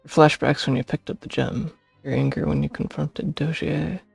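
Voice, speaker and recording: A male game streamer with a heavily creaky, slightly high voice. He reads and reacts casually, thinking aloud haltingly. The sound is very dry, with game music behind. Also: fairly even intonation, no room sound. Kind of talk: casual live playthrough, reading and reacting.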